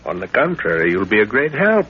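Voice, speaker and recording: A fatherly professor and seasoned adventurer, speaking with measured, patient assurance in a low register. It is old-time radio drama, dulled in fidelity with a faint layer of noise. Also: huge pitch swings. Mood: assured, instructive. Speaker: radio actor. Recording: muffled, noisy old radio recording.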